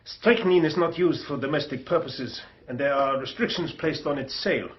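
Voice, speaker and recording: An actor playing a precise, detached toxicology doctor, speaking in a formal clinical way in a radio drama. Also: male voice, fairly high pitch, normal speed, highs cut off, natural voice.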